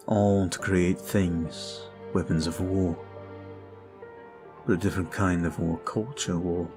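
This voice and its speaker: Guy doing a brooding vampire, very low voice, slow and confiding, soft music under it. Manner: slow, intimate, confiding monologue. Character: brooding male vampire bound in service. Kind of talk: ASMR roleplay audio.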